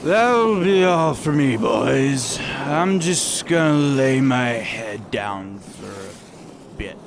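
A man acts a drunken, weary pirate sailor in a radio play. He drags his words out very slowly in a creaky slur, with noisy ship ambience around him. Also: medium pitch, expressive, exaggerated voice. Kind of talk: radio drama acting.

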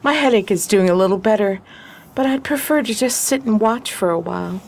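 A woman's voice: medium pitch, brisk, vocal fry. A woman playing a bold, curious, teasing heroine in a 1920s period radio crime drama. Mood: sociable, curious. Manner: sociable, probing, slangy.

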